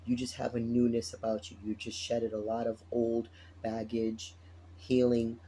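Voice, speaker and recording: Male tarot reader, raspy and tired, mellow low timbre, loose conversational delivery. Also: monotone, measured pace, a little hiss or hum, poor audio. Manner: chatty, repeats phrases, laughs often. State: tired, morning voice. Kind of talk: casual spoken tarot reading.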